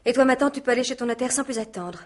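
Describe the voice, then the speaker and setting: An actress playing a headstrong, proud young niece in love, earnest and spirited, in a radio mystery play. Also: female voice, on the high side, very fast, close to an everyday voice. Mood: defiant. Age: young adult.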